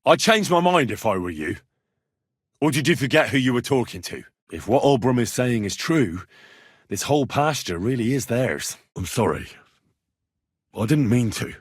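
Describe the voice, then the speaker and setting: A man playing a brash, blunt young medieval squire in a video game, with a husky voice. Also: mid-range voice, expressive, moderate pace, no echo, clean studio sound. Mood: apologetic. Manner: blunt, colloquial, assertive. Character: brash young medieval Bohemian squire, plain-spoken and quick-tempered. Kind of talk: video game dialogue acting.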